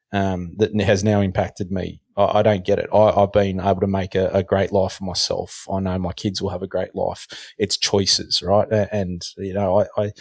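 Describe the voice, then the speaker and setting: A man's voice: sits low, no echo, clean studio sound. A middle-aged man with an Australian accent, talking earnestly in a casual podcast discussion and often stumbling over the first words of a sentence. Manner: earnest, repeats words when starting sentences. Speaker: podcast guest.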